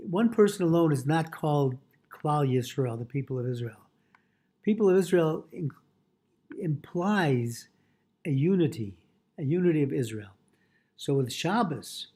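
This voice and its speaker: A man with a husky voice teaching a religious lesson as a rabbi, haltingly reading from a text, translating and repeating phrases as he explains. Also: on the high side, warm tone.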